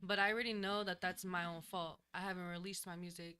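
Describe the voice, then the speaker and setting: A woman who is a new singer, answering interview questions in a studio in a soft, hesitant way, often pausing to think. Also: lowish voice, flat delivery, clean tone. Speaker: guest singer, new artist. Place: recording studio.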